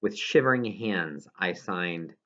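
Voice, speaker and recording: A man's voice: low voice, expressive, takes it slowly, pushed and bright, lo-fi sound. A man reading a children's novel aloud as scripted narration, now and then stumbling over a word. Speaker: audiobook reader.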